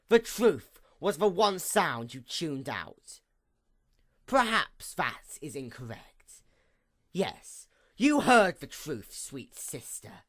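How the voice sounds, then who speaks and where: A woman voicing a proud, wounded pony goddess of night in a theatrical villain monologue, menacing and grandiose with a gravelly creak. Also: deep voice, exaggerated voice. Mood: cold triumph. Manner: theatrical, menacing, grandiose, with slow drawn-out emphasis. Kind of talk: dramatic villain monologue, fan fiction reading.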